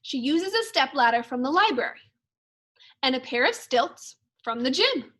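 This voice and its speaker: A woman teacher reading a storybook aloud for young children, expressively and in measured phrases. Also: high voice, sing-song, a bit bright. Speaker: second grade teacher.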